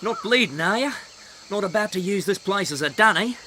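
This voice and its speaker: A man playing a wounded, stubborn Australian soldier in a video game, gruff, blunt and wry. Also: high voice, lively intonation, brisk, slight background noise. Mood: wary. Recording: loud music under the voice.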